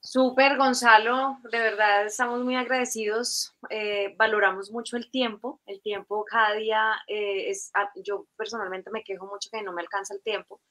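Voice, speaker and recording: A woman's voice: mid-range voice, little pitch movement, normal speed, few pauses, pushed and bright. A Colombian woman in her forties hosting a live video-call interview, chatty with many fillers and restarts. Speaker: host. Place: home room, video call.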